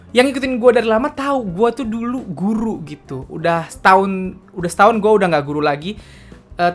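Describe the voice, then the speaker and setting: A man's voice: high voice, fairly bright tone. A man, chatting casually and candidly as a K-pop news vlogger. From Indonesia. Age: late 20s. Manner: chatty and confessional, slangy, often ends with "gitu". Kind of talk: casual solo vlog commentary.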